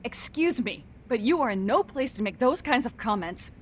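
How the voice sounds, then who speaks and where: An actress playing a guarded mother with a slightly husky voice, politely prickly and restrained in a video game cutscene. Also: on the high side, sing-song, normal speed, slight background noise. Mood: polite, defensive. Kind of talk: English-dubbed video game cutscene acting.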